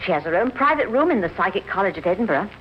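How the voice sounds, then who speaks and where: An actress playing an eager, chatty British shopkeeper who promotes seances, gushing in 1950s radio drama style. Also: female voice, medium pitch, big pitch swings, rapid-fire, close to an everyday voice. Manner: gushing, effusive. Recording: muffled, noisy old radio recording.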